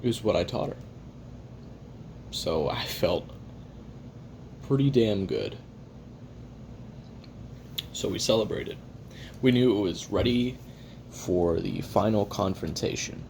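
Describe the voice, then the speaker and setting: A man's voice: sing-song, takes it slowly, vocal fry, long pauses, darkish tone, exaggerated voice. A man voicing a jaded, hard-drinking assassin recording his memoirs in a wry, profane, self-deprecating, rambling confession for an audio roleplay. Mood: animated, boastful. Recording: audible hiss and low rumble.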